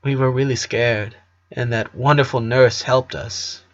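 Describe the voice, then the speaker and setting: A man voicing a warm, joking middle-aged father who tells family stories in an earnest, fond way, in a cartoon fan fiction reading. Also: medium pitch, steady tone, unhurried, lo-fi sound, close to an everyday voice. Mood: tender, nostalgic.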